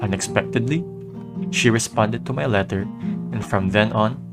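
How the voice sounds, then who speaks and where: A man's voice: lowish voice, moderate pace. A man working as a radio DJ, reading scripted lines and letters for an amateur radio drama. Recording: loud guitar music behind. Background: acoustic guitar instrumental.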